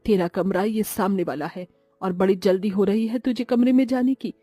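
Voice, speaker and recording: A woman voicing a snobbish, sharp-tongued middle-aged mother-in-law in a cartoon story, with a sarcastic, scolding manner. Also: medium pitch, moderate pace, no echo, faint music behind, natural voice. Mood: scornful, bossy. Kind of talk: Hindi cartoon moral-story voice acting.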